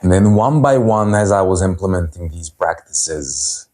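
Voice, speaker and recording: Man in his 30s, health coach, low voice, slow earnest delivery full of pauses. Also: animated, darkish tone. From Serbia. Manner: earnest, unhurried, with frequent pauses and fillers. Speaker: holistic health coach and speaker. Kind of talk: personal story talk at a virtual event.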